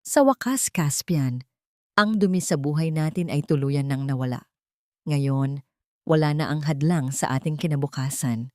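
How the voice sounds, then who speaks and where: A woman's voice: low voice, measured pace, no room sound, studio quality. A woman voicing a cold, triumphant young bride villainess in a story, haughty and gloating in manner.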